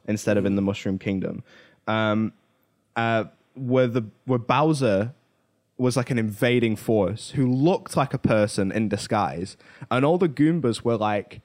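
A man's voice: medium pitch, a little mellow. Rambling male podcast co-host. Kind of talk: casual chat about films.

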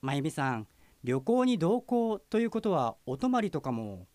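Man playing courteous junior detective, lively pitch. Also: normal speed, dry recording. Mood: polite, inquiring. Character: courteous male junior detective, curt with his lewd boss. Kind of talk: audio drama dialogue.

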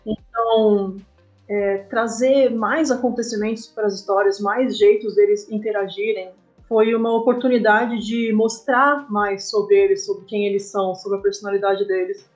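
A Brazilian woman talks casually as an interview guest. Her voice sits at an average pitch, and she explains things thoughtfully in short, halting phrases. Soft music runs behind her. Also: flat delivery, poor audio. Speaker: interview guest, comic artist and game writer. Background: background music.

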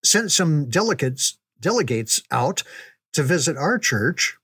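An older man reminiscing casually in a folksy, unhurried, conversational way, as a storyteller. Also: a little high, sing-song, a bit bright, husky, no room sound. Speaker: storyteller recalling ministry memories.

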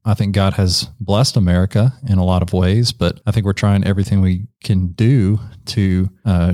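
Low-voiced candid man, very dry sound. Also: darkish tone, no room sound. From Ecuador. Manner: candid, reflective, frequent self-corrections. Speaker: podcast co-host. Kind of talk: casual discussion.

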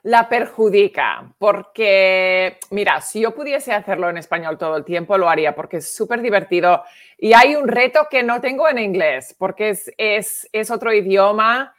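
A woman's voice: medium pitch, animated, moderate pace. A woman, co-hosting a casual comedy podcast and telling stories in a chatty way. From Spain. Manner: chatty storyteller, acts out quoted voices. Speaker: comedian and co-host.

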